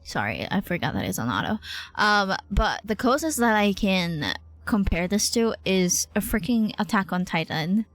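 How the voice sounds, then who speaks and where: A woman game streamer chatting casually live, thinking out loud, exclaiming and swearing freely. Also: mid-range voice, big pitch swings, moderate pace. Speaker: streamer reacting to a role-playing game. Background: game music behind the voice.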